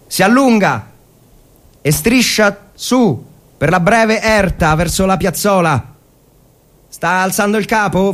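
A man's voice: high-pitched, voice pushed up. An actor playing a tense young lieutenant in a war story, barking orders and brooding over his men. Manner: barks orders, then broods. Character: tense young army lieutenant in the trenches, commanding, anguished.

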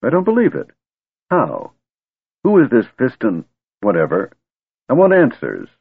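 A man's voice: lowish voice, sing-song, a little slow, breathy edge, no room sound, heavily acted. A man voicing a blunt, worried young warrior who demands answers. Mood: demanding. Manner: bewildered, demanding. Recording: tinny phone-like dry recording.

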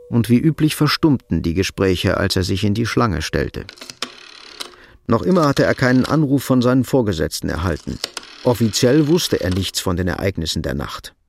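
A man giving sober, measured narration for a scripted radio drama. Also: medium pitch.

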